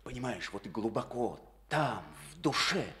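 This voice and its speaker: A weary brooding party chief. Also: male voice, mid-range voice, expressive, normal speed, sharp tone. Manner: brooding, then wry. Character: weary, self-critical male district party chief. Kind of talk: Soviet radio play acting.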